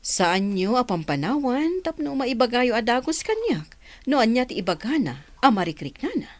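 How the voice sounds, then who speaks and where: A woman playing a calm, reassuring doctor in an Ilocano radio drama, with an Ilocano accent. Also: fairly high pitch, moderate pace. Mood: explaining.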